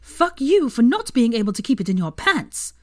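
A woman's voice: fairly high pitch, very animated, normal speed, a little mellow, voice pushed up. A woman voicing a fierce, cutting young woman in a fury, in a fan fiction audiobook. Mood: rising fury.

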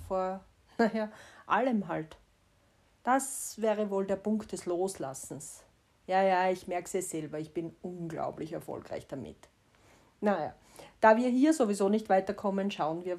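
A woman in her forties with a Styrian Austrian accent, reflecting on her life in a personal monologue. Her voice is mellow and slightly husky. She talks candidly, with a wry, gently self-mocking edge. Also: fairly low pitch. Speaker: vlogger.

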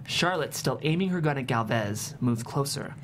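A woman's voice: very deep voice, lively intonation, moderate pace. A woman reading stage directions as scripted narration at a table read. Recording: clearly noisy recording with hum.

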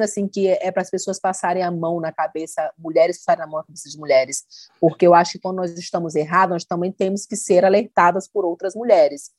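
A woman's voice: medium pitch, a little mellow, no echo. Earnest woman in dry close sound. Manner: earnest, reflective, often quotes others. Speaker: content creator. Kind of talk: casual conversation.

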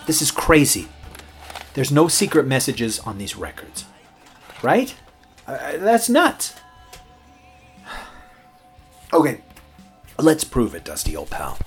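Nervy male late-night DJ, animated pitch, hesitant, stammering, horror audio drama, music underneath. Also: slow, pauses often, full character voice. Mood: uneasy, doubting. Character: rookie late-night radio DJ, jittery everyman.

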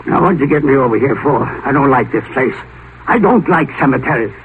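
Man acting a gruff, blustering rich tycoon, tinny old radio. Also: mid-range voice, lively intonation, a little fast, close to an everyday voice. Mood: irritable. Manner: blustering, grumbling, curt. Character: gruff, ill-tempered rich old tycoon, jealous and morbid. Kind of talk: mid-century radio drama acting. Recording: noisy, tinny 1950s radio broadcast.

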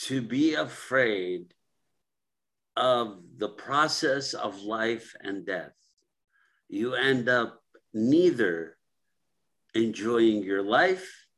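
A man speaking as a guest on a reflective podcast, slow and ruminative, with fillers and repeated phrases. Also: mid-range voice.

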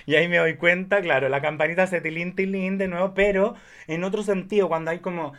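Higher-pitched man, chatting expressively. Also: fairly even intonation, brisk, a bit bright. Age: 30s. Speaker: podcast guest or co-host discussing films. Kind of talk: casual conversational chat.

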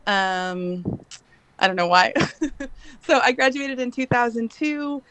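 A woman's voice: fairly high pitch, lively intonation, takes it slowly, fairly bright tone, a little hiss or hum, rough recording. A woman in her late thirties who grew up in Bangladesh, speaking as a podcast guest in casual, chatty talk with frequent laughs and hesitant fillers. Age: late 30s. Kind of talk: casual interview chat.

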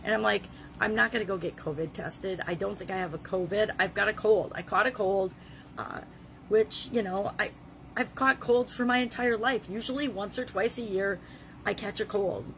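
A middle-aged woman with a gravelly voice from a cold, leading a self-help challenge in an earnest, conversational way. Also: mid-range voice, moderate pace, slight background noise. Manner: earnest, conversational, with frequent restarts. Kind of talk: motivational talk to camera.

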